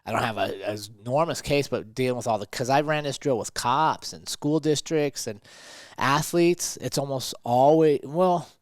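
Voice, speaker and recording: A man's voice: mid-range voice, normal speed, no echo. A man talking conversationally as a motivational podcast host and coach, emphatic and fond of rhetorical questions.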